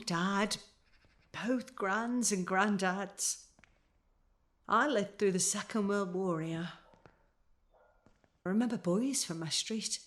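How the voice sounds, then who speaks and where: An actress playing a frail, fond elderly English mother in a naturalistic audio drama, gentle and hesitant as she reminisces. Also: mid-range voice. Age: older.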